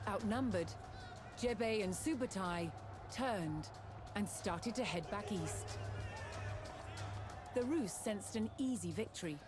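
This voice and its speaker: A woman reading scripted documentary narration in a measured, deliberate way, with dramatic pauses. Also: medium pitch, expressive. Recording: music behind the voice. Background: music.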